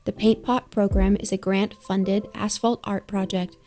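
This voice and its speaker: Flat-voiced woman narrating over music. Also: medium pitch. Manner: even, measured announcing. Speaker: program narrator. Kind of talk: scripted narration. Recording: music playing underneath.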